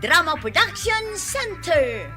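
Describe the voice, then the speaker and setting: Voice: extremely high pitch, sing-song, slow pace. An announcer reading radio drama credits in a dramatic style. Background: music.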